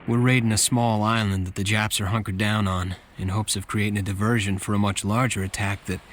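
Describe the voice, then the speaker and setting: An actor playing a young US Marine who narrates in an earnest, measured, sincere way, as scripted war-game narration. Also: male voice, lowish voice, flat delivery, slight background noise, lightly acted. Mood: earnest, reflective. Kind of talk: scripted narration, letter home.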